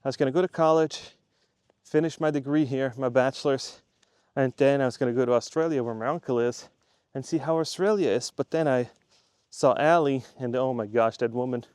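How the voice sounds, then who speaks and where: A man's voice: medium pitch, brisk, a little mellow, clean tone, no echo, clean studio sound. A man from Switzerland who runs a YouTube channel, talking casually to the camera in a chatty, rambling way and repeating himself for emphasis. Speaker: YouTube creator.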